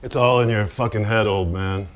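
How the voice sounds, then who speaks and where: An actor playing a hard-drinking, tormented painter in a theatrical staged monologue, profane, brooding and rambling. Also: male voice, lowish voice, steady tone, normal speed, lightly acted. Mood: bitter, brooding. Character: hard-drinking, foul-mouthed, tormented male painter, self-mocking. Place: staged reading in a barn before an audience.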